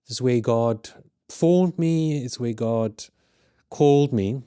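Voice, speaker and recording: A man's voice: mid-range voice, dark tone, clean tone, dry recording. A man in his thirties with a South African accent, raised in Zimbabwe, speaking earnestly as a podcast guest in a studio. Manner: earnest, measured, with frequent restarts. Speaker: guest, ministry leader. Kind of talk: podcast interview.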